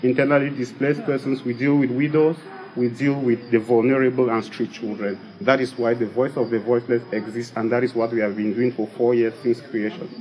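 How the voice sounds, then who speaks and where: A man's voice: medium pitch, little pitch movement, fairly quick, darkish tone, rough recording. A man from Cameroon with a Cameroonian English accent, speaking earnestly and emphatically in an interview and repeating phrases for force. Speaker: founder of an advocacy organization. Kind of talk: interview testimony. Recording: music playing behind the voice.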